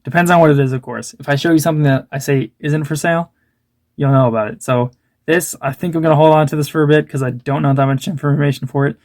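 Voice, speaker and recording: A young man with a slightly high voice talks casually, as if showing his things to regular viewers. He rambles and breaks off his own sentences to start again. The sound is dry, with very little room to it. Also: quick pace, darkish tone, dry recording. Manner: informal, rambling, self-interrupting. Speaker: antiques dealer and video host. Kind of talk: casual rambling show-and-tell vlog.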